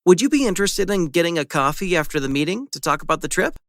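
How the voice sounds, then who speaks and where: A man's voice: fairly high pitch, very animated, fast talker, fairly bright tone, no echo, studio quality. A man playing a friendly young male architecture student in a scripted practice conversation, easygoing and asking friendly questions. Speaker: student in a dialogue.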